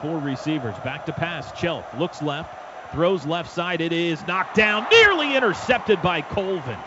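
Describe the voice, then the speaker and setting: Male football play-by-play announcer, somewhat high-pitched, clipped urgent delivery, over clearly noisy stadium broadcast sound. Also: big pitch swings, measured pace, lo-fi sound. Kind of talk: live radio football play-by-play commentary. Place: stadium radio booth.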